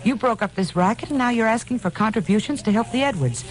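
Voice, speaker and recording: A woman's voice: on the high side, lively intonation, fast, lightly acted. A woman with an American accent playing a playful, coaxing, glamorous girlfriend in an old radio detective drama. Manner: teasing, cajoling. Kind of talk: 1940s-50s radio drama acting.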